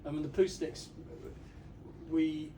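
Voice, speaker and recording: A middle-aged man from Swansea tells self-mocking stories as a guest on a bookshop panel. He is well back from the mic, with steady room noise around him. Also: fairly high pitch, slow pace, far from the mic. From Swansea, Wales. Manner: self-deprecating, joking. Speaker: musician and band manager, panel guest. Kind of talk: casual anecdotes on a panel.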